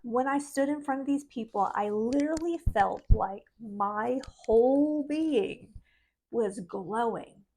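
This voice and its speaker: A middle-aged woman with a soft voice, talking earnestly and casually to camera as a spiritual vlogger. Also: fairly high pitch, slow, slight background noise, low-quality recording. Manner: earnest, chatty, laughs between thoughts.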